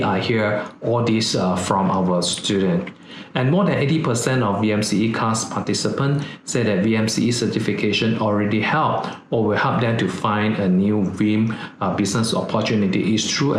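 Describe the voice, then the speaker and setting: A man's voice: lowish voice, echoey room, slight background noise. A middle-aged man with a Malaysian English accent, frankly explaining certification details in a live webinar talk, with frequent "uh" fillers. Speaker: company CEO presenting training.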